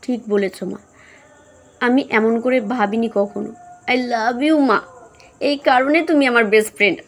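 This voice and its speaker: A woman's voice: on the high side, unhurried. A woman voicing a proud, emotional young daughter in love in an audio story. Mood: subdued. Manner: emotional, stubborn.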